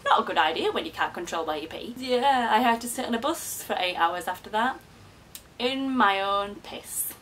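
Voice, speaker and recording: A woman's voice: mid-range voice, a bit bright, a little hiss or hum. A woman in her early twenties talking casually to camera in a self-mocking, chatty vlog, with frequent swearing. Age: 20s. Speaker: YouTuber.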